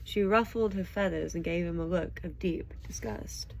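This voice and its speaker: A woman's voice: on the low side, moderate pace, creaky, a little hiss or hum. A woman reading stories aloud expressively and chatting casually about them. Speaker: audiobook reader and show host. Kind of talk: expressive storybook narration, then casual chat with a child listener.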